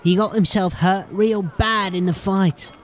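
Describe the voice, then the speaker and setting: An actor playing a cocky, threatening street-gang henchman in a fantasy audio drama, with a rough, slangy, taunting way of talking. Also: high-pitched, steady tone, a little slow. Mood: grim.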